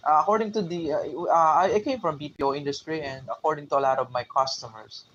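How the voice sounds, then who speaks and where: A man's voice: a little high, fast talker, a bit bright. A man from the Philippines with a Filipino English accent, talking casually to his channel viewers, with many fillers and restarts. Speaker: YouTube channel host. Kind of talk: casual unscripted talk to camera. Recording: dull lo-fi recording with faint room noise.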